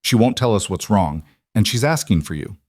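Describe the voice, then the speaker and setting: A man's voice: lowish voice, animated, moderate pace, a little mellow, no room sound, studio quality. A man narrating an audiobook voices a female school principal, formal, professional and concerned.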